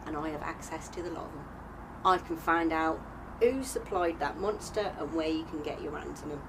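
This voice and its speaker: A woman's voice: low voice, moderate pace, background noise. A British woman playing a streetwise, exasperated young servant, blunt and slangy, in an audio drama. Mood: guarded.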